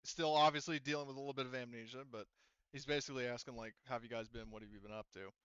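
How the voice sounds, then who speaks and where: A man narrates a tabletop roleplaying game in a bright, smooth voice. His delivery is conversational and halting as he improvises. The sound is close and dry, with slightly muffled top end. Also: mid-range voice, fairly even intonation, no room sound. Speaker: game master.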